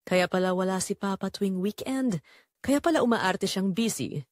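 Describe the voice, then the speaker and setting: A woman's voice: mid-range voice, normal speed, dry recording, studio quality, natural voice. A woman voicing an angry, wounded adult daughter in an audio drama, speaking in a hard, accusing and commanding way. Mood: bitter, furious. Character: angry wounded adult daughter confronting her father's mistress. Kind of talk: dramatic audio story dialogue.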